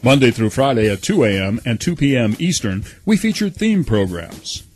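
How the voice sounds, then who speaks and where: A man's voice: medium pitch, very animated, moderate pace, darkish tone, husky, faint music behind. A man working as a channel announcer, reading a scripted promotional voice-over.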